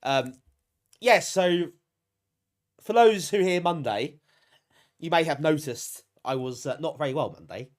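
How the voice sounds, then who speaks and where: Lively, higher-pitched British man, bunged up. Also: a little slow, pauses often. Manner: chatty, self-deprecating, appreciative of viewers. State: bunged up, getting over a cold. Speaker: live streamer hosting a retro gaming stream. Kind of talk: casual chat with live stream viewers.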